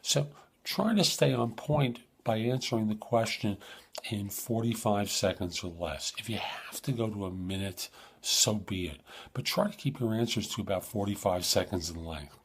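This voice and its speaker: Male advice-show host, low and raspy, informal coaching delivery with emphatic points. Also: normal speed. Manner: informal, emphatic coaching, acts out sample questions. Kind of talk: conversational advice monologue.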